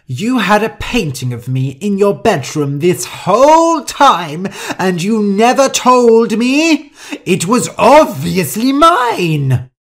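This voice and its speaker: A woman's voice: sits low, lively intonation, slow pace, a little mellow, pitched above the performer's own voice, heavily acted. A woman voicing a haughty, vain, hot-tempered older heiress in an audiobook story, with an imperious, theatrical manner. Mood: furious.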